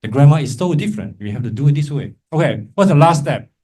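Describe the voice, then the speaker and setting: A man's voice: on the high side, lively intonation, fast talker, darkish tone, husky. A man teaching a class as a Buddhist monk, informal and encouraging, often checking in with short tag questions. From Malaysia. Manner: encouraging, informal, frequent check-in tags like "right?" and "okay?". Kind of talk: interactive lecture to a class with remote listeners.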